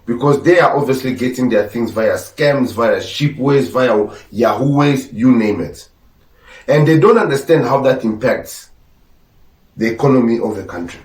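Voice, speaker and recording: A man's voice: mid-range voice, expressive, normal speed, a little mellow, reverberant, far from the mic. A man originally from Angola whose first language is Portuguese, hosting a podcast with blunt, lecturing opinion talk. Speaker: podcast host. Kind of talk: opinionated commentary.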